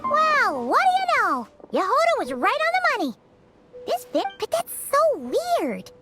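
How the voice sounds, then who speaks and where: Tiny excitable game sprite, extremely high and swooping, unhurried, music underneath. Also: female voice, heavily acted. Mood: puzzled. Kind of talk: animated game voice acting.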